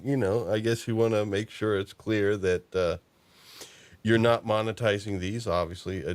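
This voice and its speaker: A man with a notably low voice talks as a host in relaxed conversation. His delivery is halting, often doubling back in the middle of a thought. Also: clean tone. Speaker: podcast host. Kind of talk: casual chat about fan fiction and films.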